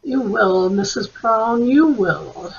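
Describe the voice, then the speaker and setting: A woman playing a shrewd homicide sergeant in a radio mystery, with a musing, drawn-out, theatrical delivery. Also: on the high side, animated, creaky, slightly rough, muffled recording, exaggerated voice. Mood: musing, knowing.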